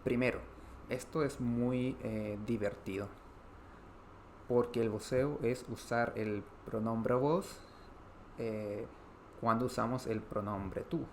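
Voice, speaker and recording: A man from Chile with a Chilean Spanish accent, explaining grammar in an online language lesson, pausing often on "eh" and restarting phrases. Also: mid-range voice, fairly even intonation, a little hiss or hum. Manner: explanatory, frequent "eh" fillers, repeats and restarts. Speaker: Spanish teacher.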